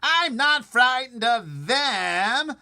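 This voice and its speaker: A man voicing a boastful, rude male centipede who yells insults in a shrill voice. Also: huge pitch swings, fast talker, breathy edge, heavily acted. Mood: yelling.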